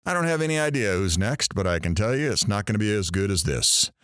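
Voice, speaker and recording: An actor playing a brash, sarcastic morning radio host in an audio drama, with showy patter. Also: male voice, on the low side, expressive, quick pace, a bit bright, clean tone, dry recording, studio quality. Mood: breezy, sardonic.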